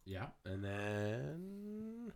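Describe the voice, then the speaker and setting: A man's voice: mid-range voice, big pitch swings, extremely slow, a little mellow. A man co-hosting a casual wrestling podcast, bantering and teasing his partner. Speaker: podcast co-host.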